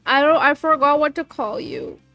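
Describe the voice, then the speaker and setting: A high-pitched woman with a cold, sniffling as she chats with her livestream viewers. She talks bubbly and loose, swears now and then, and reads creepy stories aloud. Soft music plays faintly behind her. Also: very animated, moderate pace, gravelly, no room sound. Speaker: streamer reading creepypasta. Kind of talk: casual livestream chat and reading aloud.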